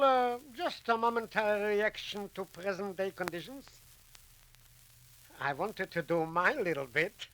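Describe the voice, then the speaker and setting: Slow-spoken, self-important husband, old radio. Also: male voice, high-pitched, fairly bright tone, heavily acted. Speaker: actor in a radio serial. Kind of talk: 1940s radio acting. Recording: crackly 1940s radio recording.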